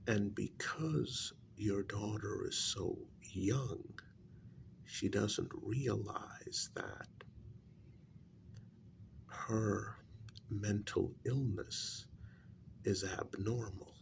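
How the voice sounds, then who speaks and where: A man playing a grave, blunt paranormal investigator in a soft ASMR role-play, speaking haltingly in a breathy, gravelly voice that drops near a whisper. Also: lowish voice, slight background noise, heavily acted. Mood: somber, explanatory. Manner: slow, halting, deliberate delivery with long pauses.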